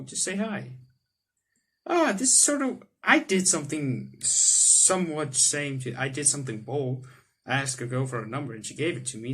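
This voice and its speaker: A young man hosting a gaming video, reading the game's text aloud and chatting about it with restarts and quick laughs. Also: medium pitch, a bit bright. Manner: chatty, self-correcting, breaks into laughs and reactions. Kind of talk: let's-play reading game text aloud with comments.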